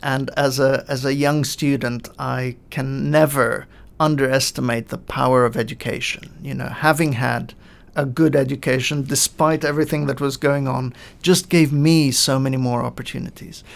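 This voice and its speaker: A middle-aged man from Lebanon, a guest on a podcast, explaining his work in measured sentences with frequent pauses and fillers. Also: medium pitch, fairly even intonation, creaky. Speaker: guest, coaching in education expert. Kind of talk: podcast interview answers.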